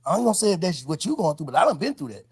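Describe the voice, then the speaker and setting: A man's voice: fairly high pitch, big pitch swings, racing, husky. A man giving heartfelt, slangy advice as an interviewer, emphatic and repeating his points. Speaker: podcast host interviewing a guest. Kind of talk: heartfelt advice in casual street talk.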